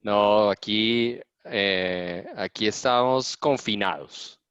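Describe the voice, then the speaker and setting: A man's voice: lowish voice, takes it slowly, fairly bright tone, smooth, dry recording. A man with a Colombian accent, a native Spanish speaker, chatting casually on a video call. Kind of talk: casual chat. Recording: dull video call audio.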